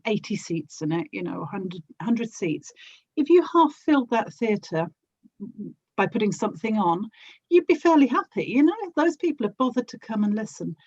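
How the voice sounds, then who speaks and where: A woman's voice: fairly high pitch, darkish tone, no echo. A woman speaking as a podcast guest in casual, thoughtful conversation, on a dry and slightly muffled microphone. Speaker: podcast guest, audio drama actor and producer.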